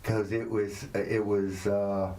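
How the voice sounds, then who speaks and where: Deep, slow man in his late sixties. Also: flat delivery. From South Carolina, United States. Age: late 60s. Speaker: musician being interviewed. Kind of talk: reflective interview talk.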